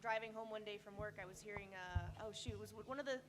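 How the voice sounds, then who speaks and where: A woman's voice: medium pitch, steady tone, quick pace. A woman telling a story casually as a panelist in a convention room. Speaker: panelist, voice actor. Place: convention meeting room with an audience. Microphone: panel microphone.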